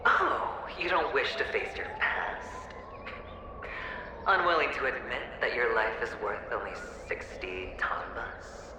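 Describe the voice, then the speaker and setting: A man voicing a smug, teasing young man in a video game cutscene, speaking in a sardonic, deliberate way. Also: medium pitch, big pitch swings. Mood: taunting. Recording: very echoey game audio with ambient noise.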